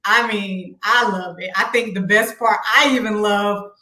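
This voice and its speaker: A woman's voice: mid-range voice, fairly even intonation, a little slow, pushed and bright, roomy sound. A woman singer being interviewed, telling her story in an earnest, reflective way with faith-filled words. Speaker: singer and guest. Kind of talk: casual interview chat.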